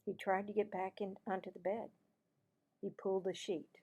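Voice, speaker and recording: A woman narrating a children's storybook aloud in an expressive, deliberate manner. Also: medium pitch, dull audio. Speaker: teacher-style read-aloud narrator.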